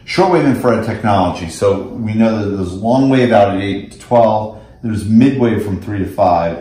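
Low-voiced American man in an echoey room. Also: mellow. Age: middle-aged. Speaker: company representative presenting technical products. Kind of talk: technical product demo and explanation. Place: indoors in a small office meeting room.

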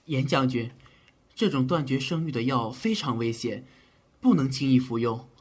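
An actor playing an earnest, caring but easily flustered physician of ancient China in a period audio drama. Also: male voice, mid-range voice, lively intonation, normal speed, husky. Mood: concerned.

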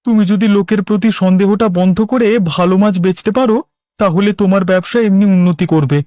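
A man's voice: high voice, fairly even intonation, fairly quick, dark tone, dry recording, clean studio sound, natural voice. A man playing an aggrieved village fish seller in a cartoon, earnestly protesting and standing his ground. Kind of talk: Bengali cartoon dub.

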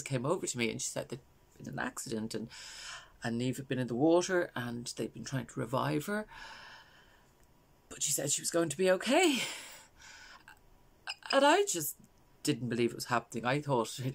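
Woman acting a halting, creaky-voiced Irish mother. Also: a bass voice, full character voice. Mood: shaken. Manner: halting, confiding, understated. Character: grieving working mother haunted by her loss. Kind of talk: dramatic stage monologue, naturalistic.

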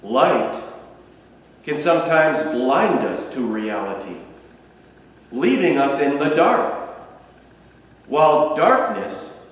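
A middle-aged man, a minister, giving a reflective sermon meditation in a slow, measured way, standing in a small room at home. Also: fairly high pitch. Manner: slow, measured, contemplative delivery with long pauses. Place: small room at home, standing before a patterned wall hanging beside a candle. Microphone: camera a few feet away. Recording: echoey, roomy sound from a distance.

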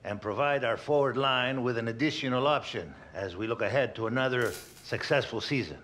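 An actor playing a formal football club official making a prepared announcement in a video game. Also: male voice, mid-range voice, fairly quick, a bit bright, a little hiss or hum, lightly acted. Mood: formal, proud.

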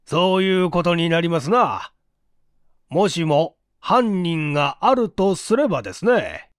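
Man acting a shrewd, deliberate police chief, high-pitched. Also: very animated, no room sound, studio quality. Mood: probing. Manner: deliberate, emphatic, leading questioning. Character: shrewd, self-assured male police chief, politely probing. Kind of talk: acted dialogue in story reading.

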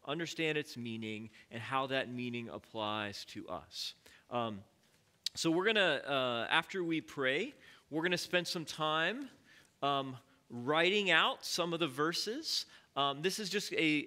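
A man leading a Bible study in church, teaching in a relaxed, conversational way with many fillers and questions to the congregation. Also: mid-range voice. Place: church sanctuary.

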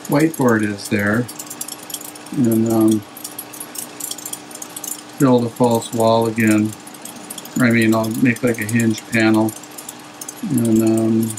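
A man's voice: on the low side, darkish tone, poor audio. Middle-aged dude filming his fish room on a phone, lots of ums, kinda hesitant, faint hum in back. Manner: rambling, hesitant, full of fillers. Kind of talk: casual walkthrough narration. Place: home fish room, indoors.

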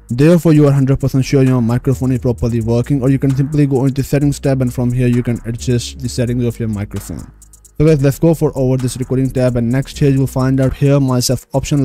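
A man's voice: mid-range voice, dark tone. A man narrating a screen tutorial as host, repeatedly addressing viewers directly. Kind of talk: screen-recorded tutorial voice-over.

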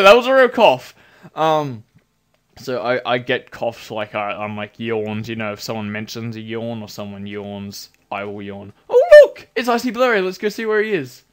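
Stuffy-nosed man chatting over gameplay. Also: mid-range voice. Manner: rambling, chatty, with bursts of excited yelps. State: nasal from a cold, coughing. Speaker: gameplay commentator. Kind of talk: casual game commentary.

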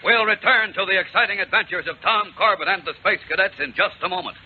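A man's voice: sits high, fast talker, a little hiss or hum. An American man narrating a 1950s radio adventure serial in dramatic announcer style. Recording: muffled 1950s radio recording, loud music.

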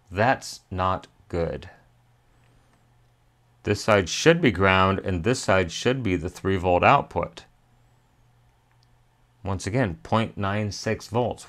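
Male repair-tutorial host, lowish pitch, methodical step-by-step delivery. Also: a bit bright. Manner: methodical, step-by-step, thinking aloud. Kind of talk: hands-on repair tutorial narration. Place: indoor electronics workbench.

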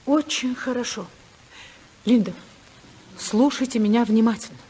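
An actress playing a proud, anguished, determined senator's wife in a 1950s radio drama, theatrical and emphatic with dramatic pauses. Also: female voice, on the high side, warm tone, husky, rough recording. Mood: urgent, hushed. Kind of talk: 1950s Russian radio play acting.

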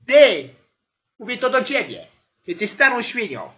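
Woman acting exasperated female teacher, whiny grumbling, slow drawn-out delivery, very animated intonation, comedy audio sketch. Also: fairly high pitch, muffled recording. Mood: whiny, disgusted. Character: exasperated whiny female English teacher scolding her class.

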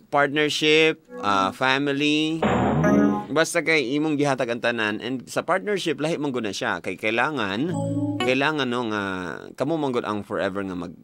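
Loud instrumental music plays under a young man with a Cebuano Filipino accent. He gives advice like a radio host, conversational and emphatic, repeating words for stress. His pitch sits in a middle male range, close on the mic. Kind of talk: live radio advice talk, switching between Cebuano and English. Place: small radio studio. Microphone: close studio boom mic, headphones. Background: loud instrumental music with guitar and keyboard.

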